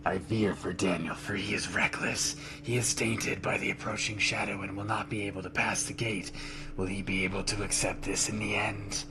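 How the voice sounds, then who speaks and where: A man reading a note aloud as a grim, sinister figure, slow and brooding, slipping into whispers. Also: lowish voice, level tone, background noise, voice pushed down, close to an everyday voice.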